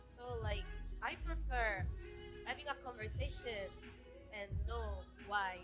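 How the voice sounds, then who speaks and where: A woman's voice: on the high side, a little slow, music in the background, low-quality recording. A woman chatting casually as a podcast guest, frank and emphatic in the way she talks. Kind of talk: casual chat.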